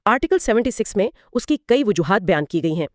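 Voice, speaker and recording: Casting: female educational co-host, animated intonation, didactic step-by-step delivery, close dry sound. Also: mid-range voice, quick pace, dry recording. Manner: didactic, explains step by step with examples. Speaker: co-host explaining law. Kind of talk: explanatory educational dialogue.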